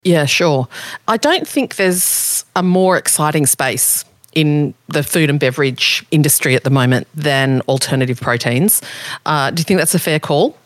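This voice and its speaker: A low-pitched woman hosting a podcast in a chatty, easy manner, laughing often between questions. Her voice sits close and dry, with no room around it. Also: normal speed, dry recording. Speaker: podcast host and editor. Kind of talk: casual interview.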